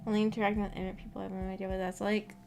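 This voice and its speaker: A woman's voice: mid-range voice, little pitch movement, racing, vocal fry, a little mellow. A young adult woman chatting casually on a livestream, thinking aloud in a hesitant, rambling, slightly giggly way. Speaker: streamer reading and answering live chat. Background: background music.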